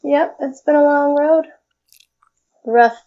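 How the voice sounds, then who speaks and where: A woman's voice: high voice, fairly even intonation, slow pace, lo-fi sound. A young woman co-hosting a podcast in an informal, conversational way, chatting casually. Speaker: podcast co-host. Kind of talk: casual chat.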